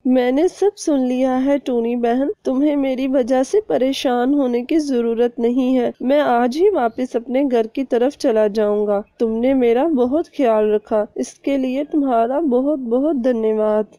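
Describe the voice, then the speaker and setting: A woman's voice: high-pitched, measured pace, hardly any pauses, dark tone, dry recording. A woman voicing a humble, grateful male mouse in a cartoon story, with polite and deferential speech. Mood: sad, resigned.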